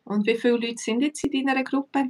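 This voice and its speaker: A woman with a Swiss German accent, hosting a relaxed live chat about her language classes and filling her talk with pauses and small laughs. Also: fairly high pitch, recorded from a distance. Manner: relaxed, chatty, many fillers, laughs often. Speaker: language teacher and host.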